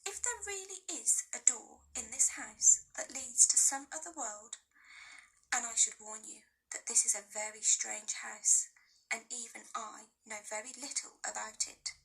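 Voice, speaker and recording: A woman voicing an old, dry, patient male professor in a storybook reading, measured and soft. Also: a little high, creaky, husky, heavily acted, poor audio. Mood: musing.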